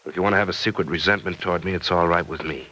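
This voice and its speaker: An earnest young man weighed down by guilt in a vintage radio drama. His voice is very low yet sharply bright and forceful, and his delivery halts with self-reproach. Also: steady tone, very fast, slight background noise, rough recording. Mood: remorseful. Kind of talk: old-time radio drama acting.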